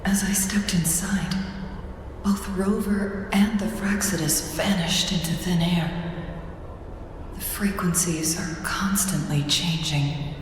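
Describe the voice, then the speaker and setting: A woman playing a composed, thoughtful young warrior-strategist in a video game, speaking her inner thoughts with measured care. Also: fairly low pitch, fairly even intonation. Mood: contemplative, wary. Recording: heavy echo and reverb, noisy ambience.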